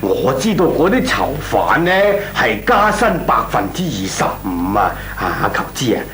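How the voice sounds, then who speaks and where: A male actor playing a shrewd, mocking company boss in a comic radio drama, with a Hong Kong accent, drawn-out interjections and feigned bafflement. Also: lowish voice, wildly swooping pitch, crowd noise. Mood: dismissive.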